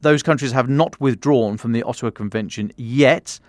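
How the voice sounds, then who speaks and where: British guy explaining the news on a podcast, mid-range voice, measured but a bit colloquial, dry close sound. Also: medium pitch, dry recording. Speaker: podcast defence commentator. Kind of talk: news analysis briefing.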